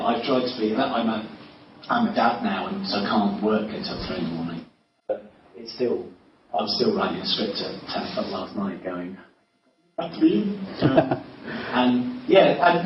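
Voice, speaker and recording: A man telling casual, self-deprecating anecdotes in a panel interview, rambling with false starts and chuckles. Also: mid-range voice. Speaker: interviewed writer. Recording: echoey room, distant mic, muffled, noisy.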